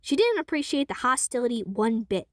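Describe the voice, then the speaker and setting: A woman's voice: on the high side, brisk, no echo, clean studio sound. Young woman narrating an audio drama. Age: young adult. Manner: expressive, lively storytelling. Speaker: narrator and voice actor.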